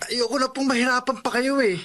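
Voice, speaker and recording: An eager youngest son. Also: male voice, very high-pitched, fairly quick, background noise. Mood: cheerful. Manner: eager, energetic.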